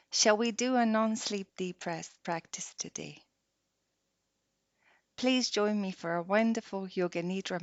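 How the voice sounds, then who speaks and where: A woman guiding a meditation practice softly and unhurriedly, leaving long pauses between short phrases. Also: medium pitch, gravelly, dry recording. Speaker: yoga nidra meditation guide. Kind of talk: slow guided meditation. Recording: dull lo-fi close dry recording.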